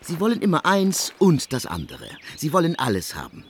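An actor in a German radio drama playing a haughty, ironic young student who lectures in an emphatic, mocking way. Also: male voice, a little high, huge pitch swings, moderate pace, breathy edge, exaggerated voice.

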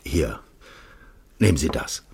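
An actor playing a secretive former secret police official in a radio crime drama, formal and measured, persuasive with quiet menace. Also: male voice, rumbling low, lively intonation, exaggerated voice. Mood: persuasive, menacing. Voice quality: husky.

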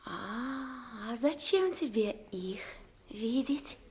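An actress playing a brisk, knowing lighthouse woman in a 1960s radio play. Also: fairly high pitch, animated. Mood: soft, coaxing.

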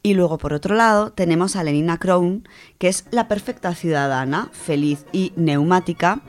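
A woman from co-hosting a radio show, chatting casually and reading a story, animated, with many fillers. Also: fairly low pitch, normal speed. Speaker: radio co-host presenting a literature section.

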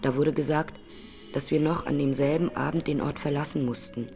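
An actress playing a grieving Armenian massacre survivor who testifies in a radio drama courtroom, plainly, solemnly and with measured words. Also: female voice, low voice, fairly even intonation, dark tone, faint music behind. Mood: grave, sorrowful.

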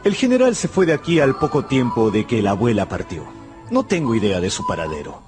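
A male actor plays a fervent gambler in a radio drama, recounting his obsessions like literature. His mid-pitched voice swings expressively between storytelling and dialogue, with clear music playing behind it. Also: big pitch swings, brisk, natural voice. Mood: earnest, sorrowful.

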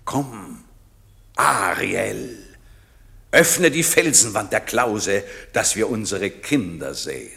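An actor playing a grave, commanding wronged duke and magician in a classic radio play, speaking verse in a solemn, measured way. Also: male voice, fairly low pitch, expressive, vocal fry, pushed and bright, exaggerated voice. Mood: grave, promising.

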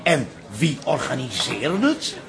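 An actor playing an exasperated, theatrical talent-show judge in a radio drama, emphatic and dramatic. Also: male voice, medium pitch, sing-song, measured pace, gravelly, a bit bright, exaggerated voice.

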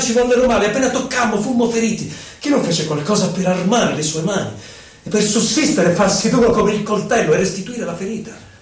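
A man gives a solemn, theatrical poetry recital, declaiming in short phrases with pauses between them. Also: sits high. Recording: echoey, distant, noisy live radio broadcast.

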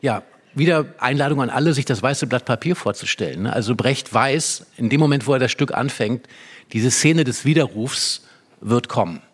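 Guy talking through a drama like an expert guest, tells it vividly, repeats bits for emphasis, normal mid pitch. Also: moderate pace, husky. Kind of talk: conversational explanation of a play.

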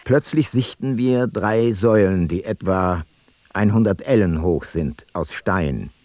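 Brief: grave voyager of antiquity, radio drama, slow delivery, somewhat low voice, dry sound. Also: male voice, darkish tone, no echo. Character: grave ancient voyager describing strange sights. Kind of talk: radio play, scripted storytelling.

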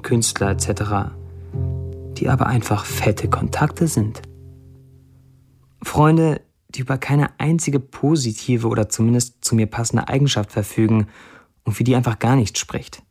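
An actor plays a wry young man with a middle-pitched voice. He delivers a blunt, dry first-person monologue in a matter-of-fact way, as in a radio play. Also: a little mellow, close to an everyday voice. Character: wry 29-year-old Syrian man narrating his blunt online posts.

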